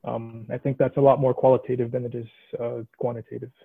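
A man's voice: medium pitch, little pitch movement, fast, gravelly, breathy edge. A man in his late twenties telling students about his career path on a video call, thinking aloud with many restarts. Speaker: alumni mentor in consulting. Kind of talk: casual advice on a video call. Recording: tinny phone-like line, dry.

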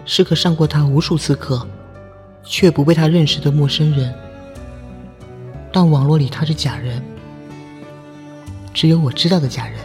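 A man's voice: fairly high pitch, normal speed, pauses often, a little mellow, close to an everyday voice. A man playing a shy university student in love, earnest and dreamy in an audio drama. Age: young adult. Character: lovestruck shy male university student, secretly pining. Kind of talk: audio drama acting with inner monologue. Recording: voice over background music.

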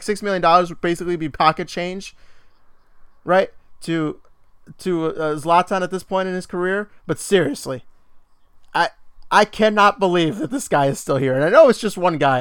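Animated man ranting on a podcast. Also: high voice, clear, resonant tone, no echo, studio quality. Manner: animated, exasperated ranting with laughs. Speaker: podcast co-host. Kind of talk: casual sports chat.

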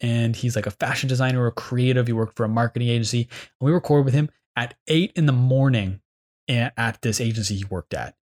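A man's voice: medium pitch, fast talker, a little mellow. Casual rambling Canadian man. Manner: rambling, enthusiastic storytelling with many fillers. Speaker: podcast host. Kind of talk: casual reflective monologue.

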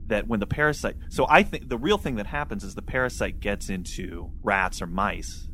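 A man's voice: fairly low pitch, expressive, moderate pace, fairly bright tone, a little hiss or hum. A man hosting a podcast, explaining things in a casual, colloquial, conversational way. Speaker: podcast host. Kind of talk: casual discussion. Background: faint background music.

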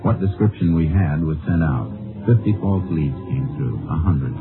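Terse folksy american texas ranger, real deep voice, 1950s radio show, tinny old recording. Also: male voice, lively intonation, normal speed, music in the background. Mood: dry, matter-of-fact. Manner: folksy, clipped, understated. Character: laconic, determined male Texas Ranger investigator, also narrating. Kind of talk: 1950s radio drama acting and narration. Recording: muffled old radio recording, narrow band.

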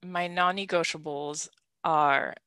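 Low-voiced Belgian-raised woman, bright and dry. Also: slow, clear, resonant tone, dry recording. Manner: animated, laughs often, many restarts. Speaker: podcast host. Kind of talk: candid personal conversation.

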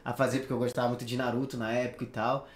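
A man's voice: mid-range voice, flat delivery, racing. A man hosting a podcast, bantering casually in a laid-back, slangy way. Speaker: podcast host. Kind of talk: casual chat. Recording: echoey room.

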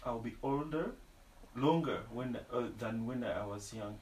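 A man in his twenties from Kenya, talking casually and animatedly as a podcast guest, restarting his sentences as he searches for words. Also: mid-range voice. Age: 20s. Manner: halting, restarts often, animated. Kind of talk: casual conversation. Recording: echoey room, faint background noise.

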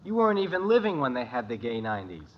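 A man's voice: medium pitch, big pitch swings, quick pace. An actor playing an earnest, bossy teenage boy with a know-it-all manner in an old radio comedy. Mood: exasperated, bossy. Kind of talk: 1940s-50s radio sitcom acting. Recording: muffled, very noisy old radio recording.

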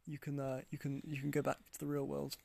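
A man's voice: medium pitch, completely flat delivery, fast talker, vocal fry, darkish tone, dry recording. A man co-hosting a podcast, hesitant and giggly in casual chat, in a small recording booth. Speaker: podcast co-host. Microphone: studio microphone.

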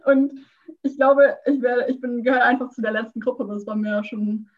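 A woman in a casual chat, teasing her friend and quick to laugh. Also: fairly high pitch, steady tone, narrow, tinny audio.

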